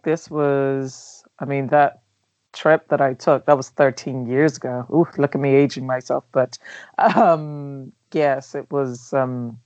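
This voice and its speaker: A woman in her thirties with a London accent, a travel blogger answering interview questions thoughtfully with many pauses and fillers. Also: extremely low pitch, a little mellow, no room sound. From Nigeria. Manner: hesitant, many ums, thoughtful. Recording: dull dry recording.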